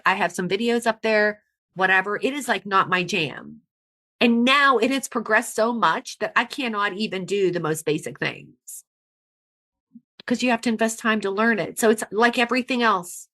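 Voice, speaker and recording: A woman speaks as a podcast guest in a bright, forceful voice. Her talk is casual and frank, and she stresses her points by repeating them. She sits at a middling pitch for a woman. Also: moderate pace.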